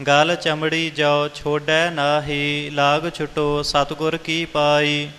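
A man reciting Sikh scripture in a measured, melodic, sing-song chant. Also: on the high side, completely flat delivery, sharp tone. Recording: long hall reverb, music behind.

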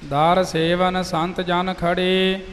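A man reciting scripture in a slow, measured, sing-song chant. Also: high-pitched, level tone, in a large hall, slight background noise.

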